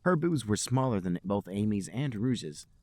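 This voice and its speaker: A man with a lowish voice reads a story aloud as a podcast host. His pitch moves around a lot, and he keeps a mock-serious storyteller's delivery in a dry, close recording. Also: animated, normal speed, warm tone, dry recording. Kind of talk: comic dramatic reading of amateur fiction.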